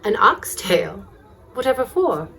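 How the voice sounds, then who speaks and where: A woman's voice: medium pitch, sing-song, takes it slowly, fairly bright tone, heavily acted, poor audio. A woman playing an arch, flirtatious, inquisitive single woman in a dramatized novel. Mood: amused curiosity. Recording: chatter of a crowd behind. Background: crowd chatter, pub ambience.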